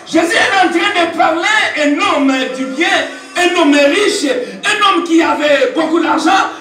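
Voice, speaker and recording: A Congolese man preaching loud, shouting and calling for amens. his voice is very high and bright, and it rings around a big echoey church hall. he sounds far from the mic, with music behind him. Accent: Congolese French. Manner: shouting, call-and-response with congregation. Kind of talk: sermon.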